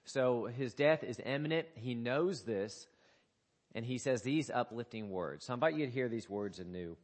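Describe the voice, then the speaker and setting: Male pastor with a cold-scratchy voice, mid-pitched, preaching in a church; informal, halting delivery full of ums. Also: animated. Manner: halting, many fillers and restarts. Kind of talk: sermon. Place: church sanctuary.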